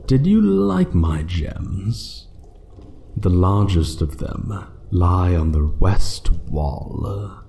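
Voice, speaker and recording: Guy doing an old dragon for fantasy asmr, really deep and slow, haughty, taunting and menacing. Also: warm tone, exaggerated voice. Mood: sly taunting. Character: ancient menacing male dragon guarding its hoard. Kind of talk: fantasy ASMR roleplay monologue.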